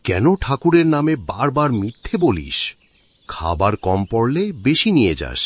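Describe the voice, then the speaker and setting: A man's voice: medium pitch, sing-song, normal speed, husky, no room sound. A man voicing a stern but generous village guru in a cartoon, terse and mildly scolding.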